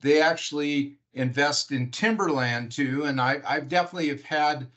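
A man's voice: a little high, normal speed, a bit bright, lo-fi sound. A middle-aged man from Washington State with an American accent, hosting a conversational podcast interview. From Washington State, United States.

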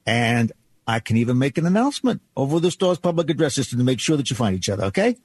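A man's voice: mid-range voice, sing-song, fast talker, breathy edge, no room sound. An actor playing a friendly 1950s department store doorman in old-time radio drama style, folksy and reassuring.

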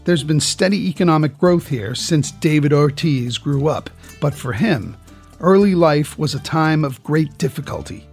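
A man narrating a documentary from a script in a measured, reflective way. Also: fairly high pitch, lively intonation, a little mellow, husky, soft background music.

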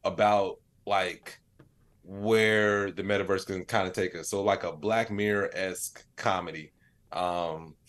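A man with a fairly low voice talks as a guest in an interview. He tells his stories casually and loosely, sprinkling in "like" and "you know" as he goes. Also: steady tone, unhurried, a bit bright. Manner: rambling, peppered with "like" and "you know". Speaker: interview guest, comedian and AI storyteller. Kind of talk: casual conversational storytelling.

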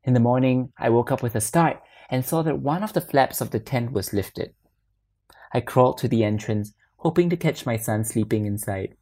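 A woman reading a literary short story aloud as narrator, in a measured and expressive manner. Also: very deep voice.

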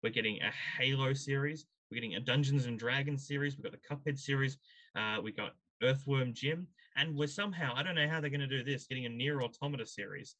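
A man's voice: medium pitch, brisk, bright, forceful tone, rough recording. Man hosting an opinionated panel chat. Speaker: podcast host.

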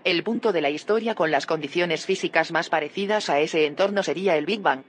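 A woman's voice: fairly low pitch, brisk, a little hiss or hum, natural voice. An actress playing an earnest, probing woman in an audio drama, serious and inquisitive. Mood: concerned. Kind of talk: audio fiction drama acting.

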